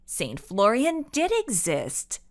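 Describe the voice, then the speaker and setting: A woman's voice: medium pitch, sing-song, slow pace. A woman voicing an earnest, insistent young girl who speaks with careful, questioning delivery. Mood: insistent, incredulous.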